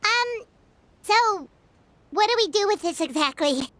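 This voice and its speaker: A woman voicing a small, cheeky game companion with a high, squeaky voice. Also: lively intonation, a bit bright, a little hiss or hum, heavily acted. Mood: curious. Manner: quick, cheeky, questioning. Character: small floating companion, chirpy and blunt. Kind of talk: game voice acting.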